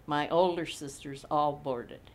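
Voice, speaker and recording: A woman in her eighties from Montana, recalling her childhood slowly in an oral history interview. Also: low voice, slight background noise. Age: 80s. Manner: slow, unhurried recollection with frequent pauses. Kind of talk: reminiscing in a recorded interview.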